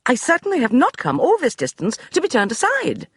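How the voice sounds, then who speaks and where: Man voicing a high, crisp, imperious Regency lady, storybook reading, dry close sound. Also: huge pitch swings, fairly quick, fairly bright tone, no echo, exaggerated voice. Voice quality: cool, crisp voice. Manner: commanding, brooks no argument. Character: commanding upper-class Regency lady, short, imperious.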